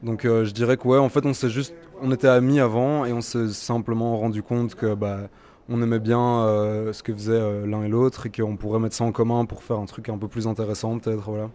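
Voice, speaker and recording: A young man in his twenties from Belgium answers interview questions after a gig. His voice sits low and he talks in a hesitant way, full of fillers and restarts. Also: little pitch movement, brisk, warm tone. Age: 20s. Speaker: musician. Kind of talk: festival interview.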